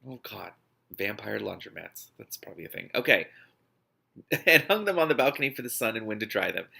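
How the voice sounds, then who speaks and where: A man's voice: lowish voice, big pitch swings, fairly quick, gravelly, sharp tone, husky. A man reading a novel aloud expressively, breaking off into spontaneous amused and exasperated asides as host. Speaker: host of an online read-aloud series.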